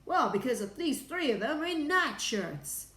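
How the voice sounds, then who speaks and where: Woman as an excitable, high-pitched male astronaut. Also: moderate pace, echoey room. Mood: amazed, insistent. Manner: crying out, emphatic. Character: excitable, panicky male astronaut, earnest and flustered.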